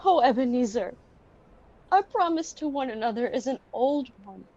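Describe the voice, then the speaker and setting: Teenage girl as a high-voiced heartbroken young fiancée. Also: lively intonation, normal speed, warm tone, muffled recording. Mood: sad, resolute. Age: teens. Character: heartbroken young fiancée, gentle, resolute, reproachful. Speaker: student actor. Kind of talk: radio play acting.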